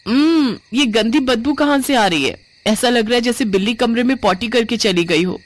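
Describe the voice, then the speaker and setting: A woman's voice: a little high, expressive, moderate pace, voice pushed up, close to an everyday voice. A woman voicing an irritable, complaining young newlywed in a cartoon family story, exasperated and argumentative. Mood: disgusted, grumbling. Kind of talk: animated Hindi family story dialogue.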